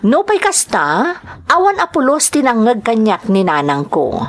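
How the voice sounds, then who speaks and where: Female drama host, Ilocano accent, scripted narration with very animated pitch movement. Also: measured pace. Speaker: host and narrator of a radio-style drama show. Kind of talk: scripted announcements and letter narration.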